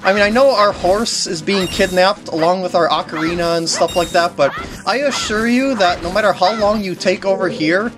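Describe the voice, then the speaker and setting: A man's voice: high voice, normal speed. A man giving casual, jokey, rambling commentary as he plays through a video game. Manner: jokey, rambling, lapses into silly voices for characters. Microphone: voice recorded on a compact camera's microphone. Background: video game music.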